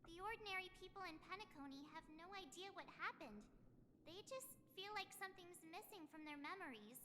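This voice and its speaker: A woman's voice: very high voice, expressive, brisk, big-room sound, noisy background. A woman voicing a perky, dramatic, boastful young adventurer girl in a space fantasy video game, chatty and girlish. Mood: brisk explaining. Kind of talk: animated video game voice acting. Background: clear game music.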